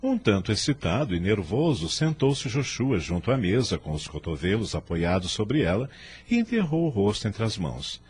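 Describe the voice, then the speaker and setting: Animated, low-voiced male radio-drama narrator. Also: normal speed, breathy edge. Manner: solemn, literary storytelling. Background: soft music.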